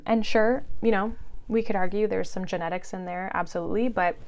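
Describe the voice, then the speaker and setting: A woman's voice: medium pitch, smooth. A woman in her thirties hosting a podcast, talking in a casual monologue that is chatty and full of fillers. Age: 30s. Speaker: podcast host.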